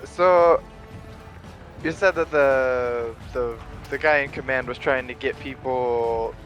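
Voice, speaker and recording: A man's voice: medium pitch, slow, fairly bright tone, dull audio. A man playing a tabletop game, tentatively thinking aloud in casual talk. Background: faint background music.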